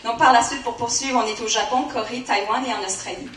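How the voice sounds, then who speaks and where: A woman with a Canadian French accent giving a conference presentation, often pausing with fillers. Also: a little high, steady tone, fairly bright tone, echoey room, far from the mic, slight background noise. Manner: hesitant, many fillers. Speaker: conference speaker.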